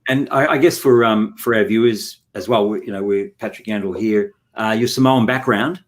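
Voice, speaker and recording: A man hosting an interview, asking halting questions and correcting himself often. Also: fairly low pitch. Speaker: radio show host.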